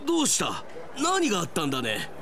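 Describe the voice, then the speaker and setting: An actor playing an earnest, excitable middle-aged park manager who talks to himself aloud in a Japanese radio drama. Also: male voice, a little high, brisk, slight background noise, exaggerated voice. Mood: surprised. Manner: expressive, talking to himself aloud.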